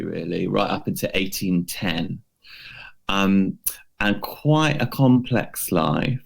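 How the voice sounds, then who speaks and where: Low-voiced man, slow and hesitant. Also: gravelly. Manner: hesitant, loosely phrased, hedging. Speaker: podcast co-host presenting a history feature. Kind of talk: casual unscripted storytelling to a co-host.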